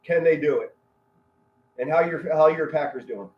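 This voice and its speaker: A man hosting a live sports play-by-play stream, talking as a loud, excitable fan with dramatic, colorful phrasing and emphatic pauses. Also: a little high. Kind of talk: loud, excitable fan commentary mixed with chat. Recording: roomy, echoey, dull lo-fi stream audio.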